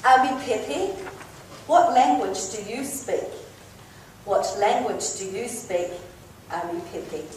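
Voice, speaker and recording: A woman's voice: medium pitch, animated, slow pace. A woman chairing a speech contest and introducing the first contestant. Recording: echoey hall from far off, noisy.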